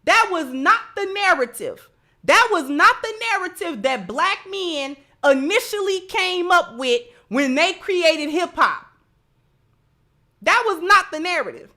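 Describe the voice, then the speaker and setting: A woman with a high, bright, forceful voice delivers livestream commentary. She speaks slowly and deliberately, leaning on repeated phrases for emphasis as she addresses her viewers. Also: expressive.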